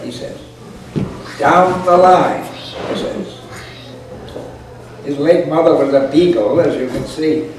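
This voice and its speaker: Old guy telling a folksy yarn out loud, dry delivery, really echoey noisy room. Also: fairly high pitch, far from the mic. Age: 80s or older. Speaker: storyteller reading aloud. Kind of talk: folksy humorous story reading aloud to a live group. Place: indoor parlor with a small audience. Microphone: camera microphone at a distance.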